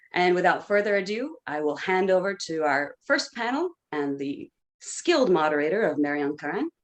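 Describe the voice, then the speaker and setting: A woman opening an online conference over a video call, giving friendly, practical instructions with light jokes. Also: on the low side. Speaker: conference organizer. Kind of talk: housekeeping announcements.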